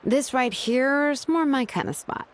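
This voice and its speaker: Relaxed young game character, high voice, easygoing. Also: female voice, unhurried. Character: laid-back young woman, prefers staying dry. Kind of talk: video game voice acting.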